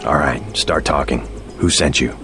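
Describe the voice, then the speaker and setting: Extremely deep voice, deadpan, a stoic agent, game music behind. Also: male voice, moderate pace. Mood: threatening. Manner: flat, deadpan. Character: stoic male special agent, terse and deadpan.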